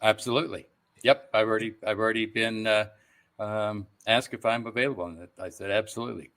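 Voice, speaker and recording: An older man talks casually as an interview guest. His voice sits a little low, with a bright, forward edge. His manner is easygoing and chuckly. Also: steady tone, brisk. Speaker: voice and stage actor, podcast guest.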